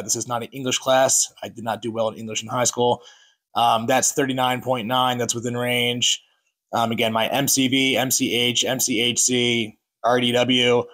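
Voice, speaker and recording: A man's voice: mid-range voice, a bit bright. A man in his thirties chatting frankly in a casual home vlog, with many restarts and asides. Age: 30s. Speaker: fitness vlogger. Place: home kitchen, indoors.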